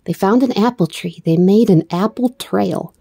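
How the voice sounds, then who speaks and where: A woman storyteller giving an expressive read-aloud narration of a children's book. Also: mid-range voice, moderate pace, gravelly, breathy edge, no echo, clean studio sound.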